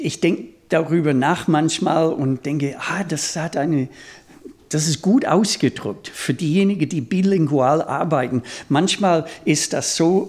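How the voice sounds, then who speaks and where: A man preaching a sermon in a language that is not his mother tongue, deliberate and halting as he rephrases himself. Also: a little high, darkish tone. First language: not German; speaks German as a second language.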